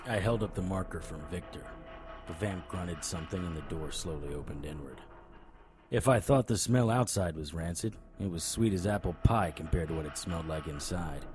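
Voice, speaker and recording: An actor playing a brooding, wry investigator who narrates a noir-style audio drama in a dry, hard-boiled manner. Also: male voice, low-pitched, normal speed, music in the background, lightly acted. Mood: grim, wry. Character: brooding, wry, stubborn male supernatural investigator. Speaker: narrator and lead character.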